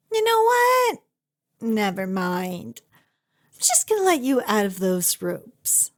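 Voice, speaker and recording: A woman playing an obsessive, doting kidnapper in an ASMR roleplay, with a gushing, cutesy, halting and sweetly menacing delivery. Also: sits high, exaggerated voice. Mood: excited. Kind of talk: ASMR roleplay acting addressed to the listener.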